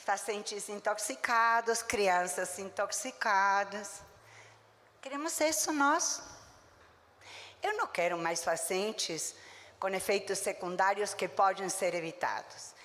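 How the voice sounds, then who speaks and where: A woman's voice: mid-range voice, takes it slowly, a bit bright. Emphatic woman lecturing. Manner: emphatic and blunt, with short punchy verdicts. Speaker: physician giving a conference lecture. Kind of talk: conference lecture. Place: conference hall. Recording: long reverb of a lecture hall.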